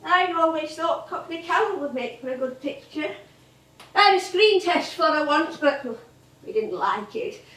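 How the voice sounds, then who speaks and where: An actress playing an elderly English music hall comedienne on stage, chatty, rambling and nostalgic. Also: female voice, sits high, lively intonation, normal speed, a bit bright. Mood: wistful. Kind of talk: theatrical stage monologue and dialogue. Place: theatre stage. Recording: echoey theatre, distant mic.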